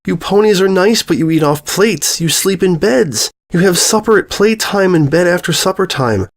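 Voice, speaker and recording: A man voices a wistful little girl from a storybook. The voice is pitched high, pleading and stubborn, leaning hard on repeated words. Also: expressive, moderate pace. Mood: insistent. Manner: pleading, emphatic repetition. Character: young girl Mia, wistful, stubborn, longing for wild horses.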